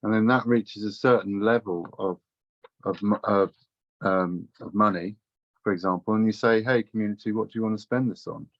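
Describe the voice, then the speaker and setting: A man explaining a community funding idea on a video call, halting with many fillers and restarts. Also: lowish voice, no room sound, highs cut off. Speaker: community project co-founder. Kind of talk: casual video call chat.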